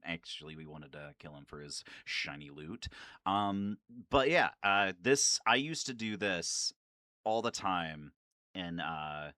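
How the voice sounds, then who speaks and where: A lowish male voice with a bright edge and very lively intonation, recorded dry and close. He talks like a gaming fan on his own channel, nerdy and enthusiastic. His language is casual and peppered with swearing. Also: no room sound. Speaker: video creator and gamer. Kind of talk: casual excited fan commentary.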